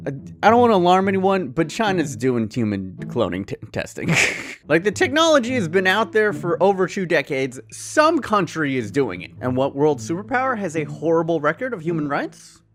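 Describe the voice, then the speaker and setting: Excitable jokey male gaming host. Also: on the high side, normal speed. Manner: excitable, jokey, self-mocking. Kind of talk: casual gaming commentary.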